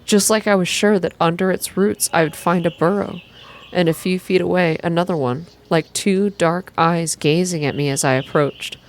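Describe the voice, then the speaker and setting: A woman softly reading a scripted first-person story in a measured ASMR style, as narrator. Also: lowish voice, fairly even intonation, slight background noise. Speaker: reader, narrator.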